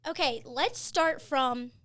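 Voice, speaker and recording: A woman's voice: high-pitched, slow pace, fairly bright tone. A woman, interviewing a colleague in an enthusiastic, upbeat, casual way. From Louisiana, US. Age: 20s.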